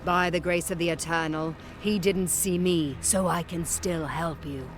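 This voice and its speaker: A woman's voice: on the low side, steady tone, unhurried, faint music behind, lightly acted. A woman playing an earnest, cautious ally who gives solemn guidance in a game cutscene. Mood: grave, helpful. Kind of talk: video game cutscene acting.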